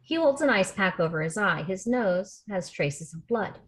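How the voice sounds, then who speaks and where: A woman's voice: mid-range voice, moderate pace. Woman reading stage directions steadily. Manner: steady, matter-of-fact reading. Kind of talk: table read narration of a script. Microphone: video call.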